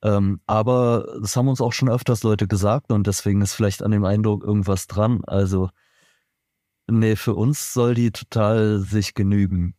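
A man's voice: fairly low pitch, steady tone, moderate pace, warm tone, dry recording, studio quality. A man from Berlin, a musician being interviewed, answering in a thoughtful, rambling way with many fillers and restarts. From Germany, Berlin. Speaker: band member, musician guest. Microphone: remote podcast recording.

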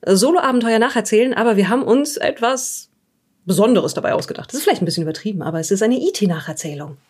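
Female podcast host, average pitch, conversational intro delivery. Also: animated, fast talker, darkish tone, husky. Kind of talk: casual spoken introduction.

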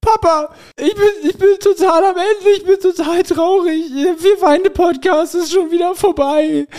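Comedy sketch: very high, whiny, over-emotional small child, gullible and weepy. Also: male voice, fairly even intonation, normal speed, darkish tone. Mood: whiny, sad. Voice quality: high-pitched, squeaky. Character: whiny, weepy, naive little child pleading with Papa. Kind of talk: comic podcast ad sketch.

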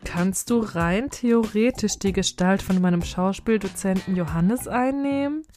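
A woman's voice: mid-range voice, measured pace, warm tone, faint music behind, natural voice. A woman in her twenties playing a bewildered new film star, casual and puzzled, in an amateur comedy audio drama. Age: 20s.

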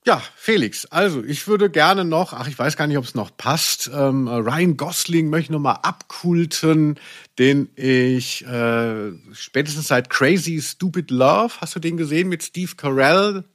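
A man's voice: a little high, unhurried, fairly bright tone. A man co-hosting a podcast in casual conversation, with a playful, ironic, teasing manner. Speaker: podcast co-host. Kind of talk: casual chat about audio dramas.

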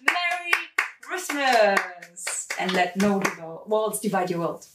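A giggly, animated woman giving playful holiday greetings. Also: mid-range voice, takes it slowly. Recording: distant roomy home video, faint noise.

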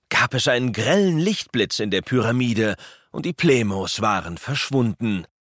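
A man's voice: on the low side, a bit bright, breathy edge, no room sound, clean studio sound. A man narrating a scripted children's story with dramatic storytelling flair.

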